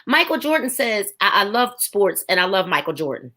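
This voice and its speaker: A woman's voice: mid-range voice, big pitch swings, normal speed, sharp tone. Emphatic woman in her forties, testifying. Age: 40s. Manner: emphatic, preaching rhythm with repeated phrases. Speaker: podcast guest. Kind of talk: testimony on a faith podcast.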